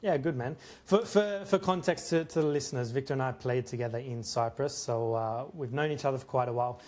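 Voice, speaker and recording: A man's voice: medium pitch, fairly quick, a little mellow. A young man with a mid-range voice hosts a casual podcast. He asks his questions in an informal, chummy manner and drops in short affirmations. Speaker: podcast host.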